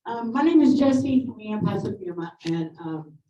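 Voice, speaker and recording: A woman introduces herself at a panel in a relaxed, casual way, pausing with fillers, her voice dark and mellow. She sits far from the microphone in a roomy hall, and the recording sounds dull. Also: fairly high pitch. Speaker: panel speaker.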